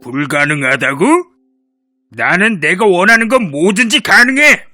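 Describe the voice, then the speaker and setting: A man's voice: high-pitched, expressive, a little slow, sharp tone, dry recording, soft background music, exaggerated voice. An actor playing a huge, boastful, arrogant male giant who can shape-shift in a cartoon. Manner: arrogant and bragging.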